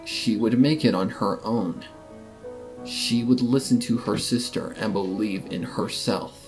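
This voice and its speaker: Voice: mid-range voice, unhurried, darkish tone. A narrator giving a scripted, dramatic reading of a story. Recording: music under the voice. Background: clear background music with guitar.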